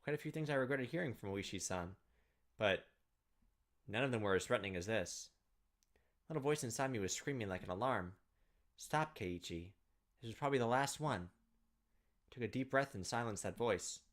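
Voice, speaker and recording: A man's voice: on the low side, animated, a little fast. A man reading visual novel narration aloud in a dramatic storytelling style as a gameplay host, stumbling now and then.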